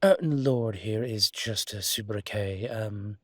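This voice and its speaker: A man playing a suave, flirtatious demon professor in an intimate audio roleplay, speaking in a courtly, teasing way with formal wording and hesitant pauses. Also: fairly low pitch, no room sound, clean studio sound. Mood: measured, explanatory.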